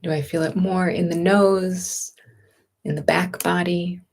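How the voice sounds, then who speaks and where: Woman doing guided meditation, really slow and gentle, bit of gravelly fry in her voice, reassuring yoga teacher type. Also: fairly low pitch, little pitch movement.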